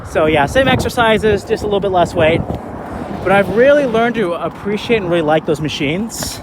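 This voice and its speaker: A man in his thirties talking casually in a vlog, relaxed and rambling with lots of fillers, recorded outdoors while skating. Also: high-pitched, normal speed, background noise. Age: 30s. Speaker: vlogger.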